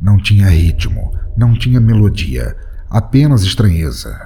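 A man's voice: lowish voice, big pitch swings, normal speed, dark tone, husky. A man narrating a first-person horror story in a dramatic, tense storytelling manner. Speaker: narrator and podcast host.

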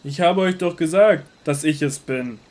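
Guy playing a calm but firm holy teacher in a bible audio drama, kinda high voice, declaims it. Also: moderate pace, natural voice.

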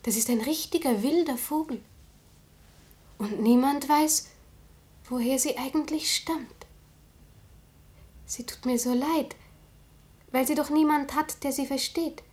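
A woman's voice: high voice, unhurried, long pauses, a little mellow. An actress playing a shy, dreamy young girl in a German radio drama, speaking earnestly and simply with childlike wistfulness. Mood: wistful.

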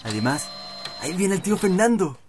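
A woman's voice: fairly low pitch, very animated, brisk, darkish tone, slight background noise. A woman playing a grumbling, slangy schoolboy with a Chilean accent in an educational radio drama. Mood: casual. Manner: complaining, slangy.

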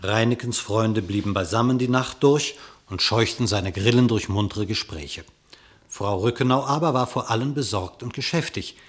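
A man narrating a verse fable in a radio play, in a measured storytelling manner. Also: lowish voice.

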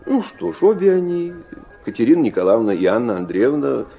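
An actor playing a worldly middle-aged gentleman father in a radio drama, speaking with mocking, theatrical irony. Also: mid-range voice, very animated, fairly quick, gravelly, soft background music. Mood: worldly, condescending. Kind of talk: Russian radio drama acting.

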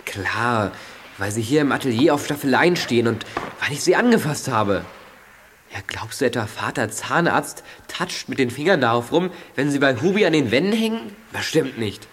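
A man's voice: mid-range voice, animated, a bit bright. An impatient, clever teenage boy sleuth, noisy recording. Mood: confident, explaining. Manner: exasperated, explanatory, quick to scold. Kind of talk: German children's audio drama acting.

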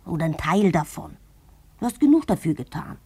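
A worried, embittered wife in a radio drama. Her voice is husky and rough-edged, and she presses her husband with insistent reproach. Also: lowish voice, big pitch swings, brisk, dark tone, exaggerated voice. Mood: bitter, urging. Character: anxious, bitter, pressing wife of a clerk. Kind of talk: German radio play acting.

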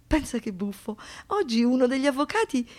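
A high-voiced young woman survivor, wounded, defiant. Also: sing-song, darkish tone. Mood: confiding, wounded. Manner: confiding, halting, dramatic pauses. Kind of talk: intimate theatrical radio monologue.

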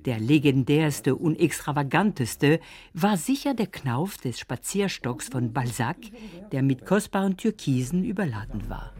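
A woman's voice: sits low, animated, a little slow, darkish tone. A woman reading scripted narration for a radio feature. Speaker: documentary narrator.

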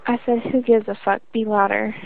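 Woman playing a creaky-voiced teenage runaway on phone. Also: medium pitch, flat delivery, brisk, slight background noise, natural voice. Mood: blunt, bossy. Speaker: prank caller. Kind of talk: phone conversation. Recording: tinny phone line.

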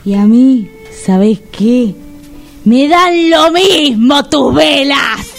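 A woman's voice: high voice, lively intonation, very slow, vocal fry, over music, exaggerated voice. An actress playing a sulky, irritable thirty-something woman in a comic radio play. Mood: annoyed. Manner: curt, irritable. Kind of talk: radio comedy drama acting.

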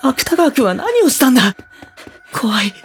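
A woman voicing a gentle, introspective, anguished teenage schoolboy in a Japanese audio drama, speaking softly and hesitantly as he narrates. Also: sits high, sing-song, moderate pace, faint music behind. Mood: panicked, anguished. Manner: soft-spoken, hesitant, brooding. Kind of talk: Japanese audio drama acting with first-person monologue.

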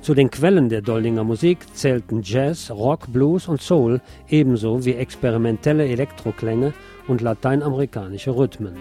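A man narrating a scripted obituary tribute as a radio host, in a measured, formal reading manner. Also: mid-range voice, music in the background.

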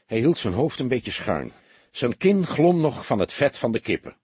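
A man narrating a crime audiobook in an expressive, scripted storytelling style. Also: medium pitch, normal speed, breathy edge, no echo.

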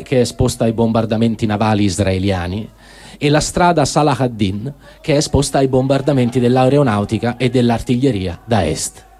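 Male reader, husky timbre, mid pitch, sober measured diary reading. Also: darkish tone. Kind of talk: reading a first-person diary aloud.

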